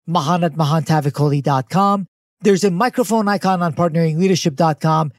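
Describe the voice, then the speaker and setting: A man's voice: a little high, fairly quick, dry recording, studio quality. A man hosting a leadership podcast, introducing and interviewing a guest.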